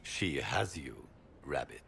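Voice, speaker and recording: A man's voice: rumbling low, very animated, slow pace, creaky, a bit bright, slight background noise, heavily acted. An actor playing a haughty, warlike nobleman in a fantasy game, grandiose in manner. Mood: triumphant. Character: haughty, forceful warlike nobleman, claimant to a throne. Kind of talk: fantasy video game acting.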